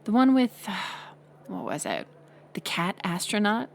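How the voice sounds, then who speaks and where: Woman acting a slow, snide college mean girl. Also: medium pitch, expressive, slight background noise. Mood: teasing. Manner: sarcastic, teasing, scoffing with sighs and breathy asides. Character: mocking college-age female bully hiding a softer side. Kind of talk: audio roleplay acting, intimate one-sided dialogue.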